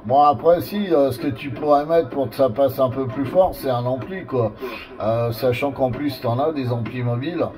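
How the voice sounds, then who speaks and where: A man's voice: medium pitch, normal speed. A man gives colloquial, explanatory advice in a casual two-way radio chat. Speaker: amateur radio operator. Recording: distant two-way radio sound.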